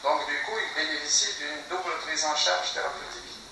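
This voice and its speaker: A man's voice: on the high side, fairly even intonation, moderate pace, vocal fry, pushed and bright. A man, an ethnopsychiatry researcher and therapist, explains his work in an interview, mixing anecdotes with rhetorical questions. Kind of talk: interview answers. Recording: echoey room, distant mic, background noise.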